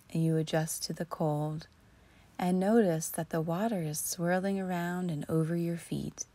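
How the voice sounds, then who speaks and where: Female meditation guide: very slow, soothing delivery, soft mellow tone, breathy and near-whispered. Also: on the low side. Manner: soothing, slow and deliberate with long pauses. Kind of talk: guided imagery relaxation narration.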